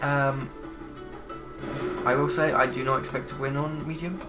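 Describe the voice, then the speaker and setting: Male gamer with a head cold, casual and hesitant let's-play talk over clear game music. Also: medium pitch, flat delivery, unhurried. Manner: rambling, hesitant with many fillers. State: congested with a cold. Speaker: gaming channel host.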